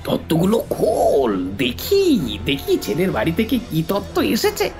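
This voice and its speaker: Village wedding woman, horror audio drama, bossy, excited, wildly animated pitch, music behind. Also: a little slow. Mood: eager, curious.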